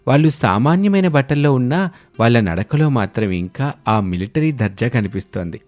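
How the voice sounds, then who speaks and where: A man's voice: medium pitch, animated, normal speed, darkish tone, soft background music. A man reading scripted narration for a moral family story.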